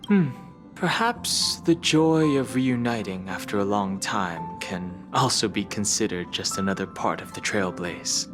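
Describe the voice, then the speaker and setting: A calm, reflective woman traveller in a video game speaks very deeply for a woman. Her delivery is slow and pensive. Music is clearly heard underneath. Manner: measured, pensive. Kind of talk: video game character dialogue.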